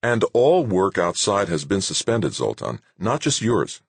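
A man plays a woman in charge of a base in a novel. She is brisk, good-natured and firm, speaking in a lifted, lively voice with a dry, close sound. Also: low-pitched, creaky, husky, no echo, pitched above the performer's own voice, heavily acted. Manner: plain-spoken, patient but firm. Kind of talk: acted audiobook dialogue.

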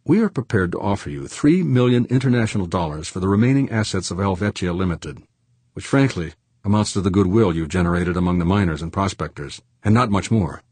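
A man voicing a cool, unruffled businesswoman who negotiates in a crisp, condescending, businesslike way in an audiobook. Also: low voice, expressive, a little fast, darkish tone, dry recording. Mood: businesslike, controlled.

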